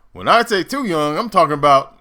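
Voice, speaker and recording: A man hosting a reaction video, making casual, animated asides while he watches. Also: on the high side.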